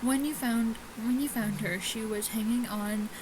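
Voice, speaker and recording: Halting woman reading aloud, noisy recording. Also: fairly high pitch, fairly even intonation. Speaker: gamer reading a visual novel aloud. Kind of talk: casual let's-play read-aloud with commentary.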